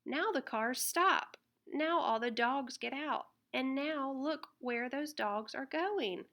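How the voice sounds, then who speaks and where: A woman reading a children's picture book aloud in an expressive, deliberate way. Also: sits high. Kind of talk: read-aloud children's storybook narration.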